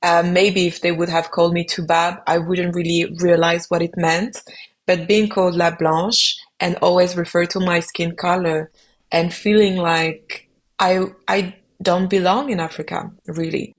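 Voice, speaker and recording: A young adult woman, a French native speaker, answering podcast questions reflectively and pausing often to find her words. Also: on the low side, little pitch movement. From Belgium. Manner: reflective, searching for words with frequent ums. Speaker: musician guest.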